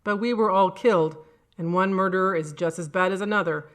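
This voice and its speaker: Woman reader as undead small-town male judge for horror audiobook, courtly, smug, calmly menacing. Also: lowish voice, fairly quick, lightly acted. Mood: smug menace.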